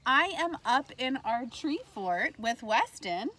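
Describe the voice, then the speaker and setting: A woman teacher reading a storybook aloud to kindergartners in an animated way, pausing to put questions to her listeners. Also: on the high side. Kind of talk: read-aloud lesson for young children. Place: outdoors in a backyard tree fort among trees. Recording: faint outdoor ambient noise.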